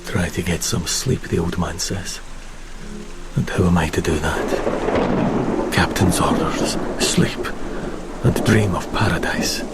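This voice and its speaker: An actor playing a bitter, educated Romanian ship's officer who broods scornfully in a gothic horror audio drama monologue. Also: male voice, deep voice. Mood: bitter, brooding. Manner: slow, deliberate, brooding monologue with sardonic bite. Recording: rain and thunder under eerie music.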